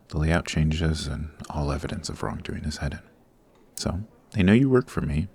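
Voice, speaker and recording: A man playing a suave, formal supervillain boss in an ASMR roleplay, patient and exacting, with measured, instructive speech. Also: rumbling low, creaky, husky, exaggerated voice. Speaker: voice actor.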